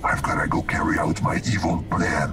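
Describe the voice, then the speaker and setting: An actor voicing a sinister, gloating glitch villain in a video game, with a rough breathy snarl and menacing delivery. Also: male voice, low voice, normal speed, distant mic, loud music behind the voice, close to an everyday voice.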